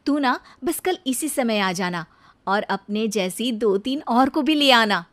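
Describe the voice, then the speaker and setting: A woman plays an eager young daughter-in-law for a cartoon story. Her voice sits high and bright with earnest feeling. She sounds determined and expressive, a city-bred woman set on her plans. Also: slow. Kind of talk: Hindi cartoon story dialogue.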